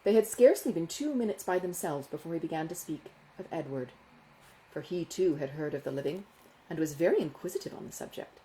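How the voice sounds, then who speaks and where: Female narrator, classic novel reading, slightly low pitch, soft dark tone, animated intonation, measured phrasing. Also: a little hiss or hum, rough recording. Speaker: audiobook narrator, author.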